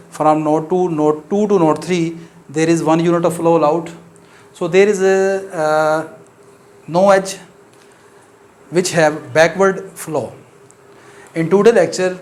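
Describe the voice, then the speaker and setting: A man with an Urdu accent delivers a maths lecture in English, his voice a touch higher than most. He explains each step carefully and pauses often with short fillers as he works through the example.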